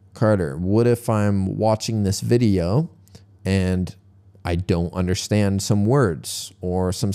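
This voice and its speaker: Slow, mellow-voiced male English teacher. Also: on the low side. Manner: relaxed, explains step by step. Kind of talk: casual tutorial for learners.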